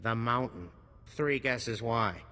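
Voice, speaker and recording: Sharp, bright wisecracking arms dealer. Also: male voice, medium pitch, takes it slowly, in a large hall. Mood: sardonic. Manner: slick, sardonic salesman patter. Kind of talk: video game cutscene acting.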